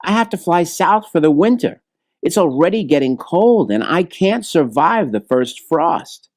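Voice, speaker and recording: A man's voice: a little high, big pitch swings, measured pace, voice pushed up. A man voicing a small, anxious, kindhearted bluebird who protests earnestly in a children's storybook. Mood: worried, reluctant. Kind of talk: children's storybook read-aloud.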